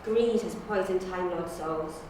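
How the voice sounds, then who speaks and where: A woman's voice: on the low side, unhurried, close to an everyday voice. A woman auditioning as a loyal, defiant Time Lord, with earnest theatrical delivery. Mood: impassioned, pleading. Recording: distant, very echoey room, faint noise.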